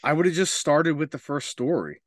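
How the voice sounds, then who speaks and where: A man's voice: a little high, lively intonation, normal speed, no echo, clean studio sound. A man co-hosting a podcast, chatting casually and giving blunt opinions with plenty of swearing. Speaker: podcast co-host. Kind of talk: casual opinionated chat about games and films.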